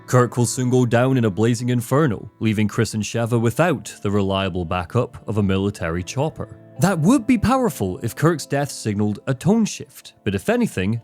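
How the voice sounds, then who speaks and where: A man's voice: medium pitch, a little mellow. A man delivering scripted narration for a critical video essay, with dramatic, emphatic phrasing. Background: background music, guitar.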